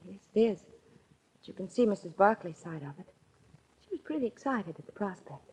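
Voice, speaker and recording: A woman's voice: medium pitch, lively intonation, fairly quick, warm tone, close to an everyday voice. An actress playing a poised, gracious and coy young society woman in a 1940s radio drama. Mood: matter-of-fact. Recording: noisy vintage 1940s radio recording with clicks.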